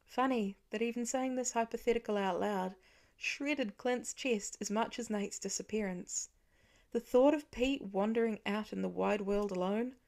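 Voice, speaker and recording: Creaky-voiced woman narrating fiction. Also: medium pitch, a little mellow. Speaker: audiobook narrator.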